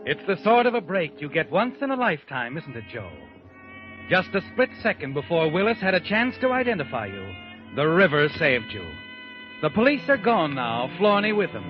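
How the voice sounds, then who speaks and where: A man's voice: fairly high pitch, very animated, moderate pace. A man narrating a 1940s radio mystery as a sinister all-knowing figure, taunting the listener as "you" over music. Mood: ominous. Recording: dull old radio broadcast with music.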